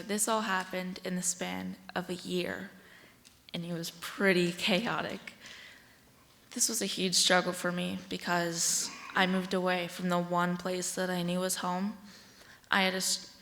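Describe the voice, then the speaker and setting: A woman's voice: on the low side, gravelly, a bit bright. Teenage American girl speaking in an echoey hall. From Washington State, United States. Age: teens, about sixteen. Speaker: youth advocate and speaker. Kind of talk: prepared public speech. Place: large indoor hall, speaking from a stage. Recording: echoey hall with long reverb.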